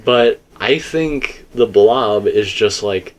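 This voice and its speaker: Low-voiced man in rambling, emphatic discussion. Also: animated, slow pace. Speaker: video game theorist and host. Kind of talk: casual speculative discussion.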